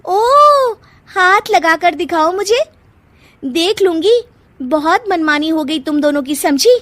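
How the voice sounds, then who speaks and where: A woman voicing a defiant, sharp-tongued young daughter-in-law in a cartoon story, indignant and argumentative. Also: very high voice, expressive, slow pace, no room sound, soft background music, pitched above the performer's own voice, heavily acted. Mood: threatening.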